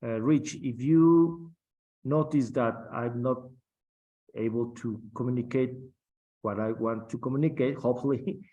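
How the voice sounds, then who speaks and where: Spanish-native guy, middle-aged, talks slow with lots of ums and restarts. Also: mid-range voice, mellow, highs cut off. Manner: halting, many fillers and restarts. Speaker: guest captain. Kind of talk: interview on aviation.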